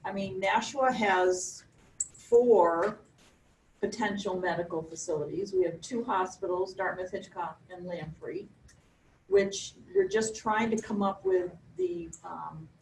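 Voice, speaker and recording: Woman, mid-pitched, chatty, raised voice, distant mic, echoey room. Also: animated, slow, a little mellow. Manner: raises her voice on purpose, chatty. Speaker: coalition member asking a question. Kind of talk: question in a group discussion. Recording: echoey room, far from mic, faint hum.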